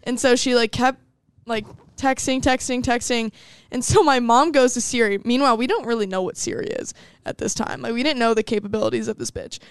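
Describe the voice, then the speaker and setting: A young woman co-hosts a podcast, telling a story at a relaxed pace with plenty of fillers and easy laughter. Her voice is smooth and clear. The studio mic sounds dry and close. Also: fairly high pitch, brisk, no echo. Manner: chatty, laughs a lot, many "like" fillers. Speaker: podcast co-host. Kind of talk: casual storytelling chat. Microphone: studio microphone.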